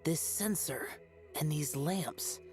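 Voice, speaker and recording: Stern, low-voiced mystic woman. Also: music in the background. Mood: solemn, instructive. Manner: formal, imperious, measured. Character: stern, dignified female adept, master of mystic arts. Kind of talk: video game voice acting.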